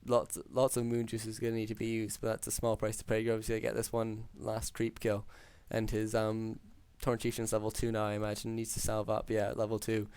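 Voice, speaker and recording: A man calling a strategy game match alone, talking through plays with many ums, his voice hoarse after hours of casting. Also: lowish voice, fairly quick, hardly any pauses, dry recording. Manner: steady, filler-heavy analytical play-by-play. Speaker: game caster. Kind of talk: solo esports play-by-play commentary.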